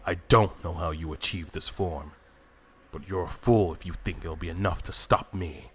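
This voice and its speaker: Man voicing a deep, arrogant anime villain. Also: normal speed, slightly rough. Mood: menacing, defiant. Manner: taunting, theatrical. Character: arrogant male time-destroying villain, mocking and menacing. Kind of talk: anime fan drama voice acting.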